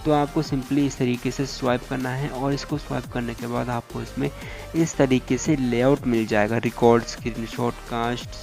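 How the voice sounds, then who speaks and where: Even-toned man giving a tech tutorial. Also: medium pitch, moderate pace, gravelly, faint music behind. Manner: repetitive instructional phrasing, English tech terms mixed in. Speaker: tech YouTuber. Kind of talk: step-by-step phone feature tutorial.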